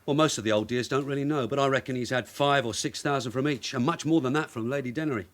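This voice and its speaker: An actor playing a gruff, streetwise London detective sergeant in a radio drama, blunt, sardonic and commanding. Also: male voice, mid-range voice, fast talker, close to an everyday voice. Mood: dogged.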